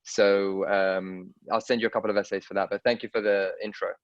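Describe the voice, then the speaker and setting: A man's voice: fairly low pitch, flat delivery, a little fast, no echo. A man hosting an online class, answering briefly and politely and calling on participants. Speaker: class host. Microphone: video call. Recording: dull dry video call audio.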